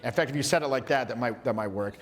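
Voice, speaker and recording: A man coaching social skills in a playful, energetic workshop style, loose and full of filler words and quick restarts. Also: mid-range voice, in a large hall, a crowd in the background. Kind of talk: energetic live workshop teaching.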